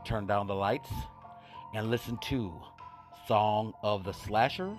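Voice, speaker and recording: Slow, low-voiced horror show host. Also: male voice, soft background music. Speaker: host of old-time radio horror show. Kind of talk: spooky scripted show introduction with asides.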